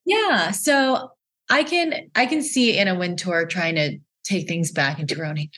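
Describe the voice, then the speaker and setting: A woman co-hosting a casual podcast over a video call, chatting in an animated way with sudden upward jumps in pitch. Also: measured pace. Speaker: podcast co-host, stylist. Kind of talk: casual chat.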